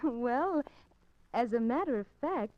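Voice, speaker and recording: A woman's voice: on the high side, expressive, takes it slowly, dry recording. An actress playing an anxious, determined woman undercover in a 1950s radio suspense drama, with coy, hesitant delivery. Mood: coy, flustered. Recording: dull 1950s radio recording.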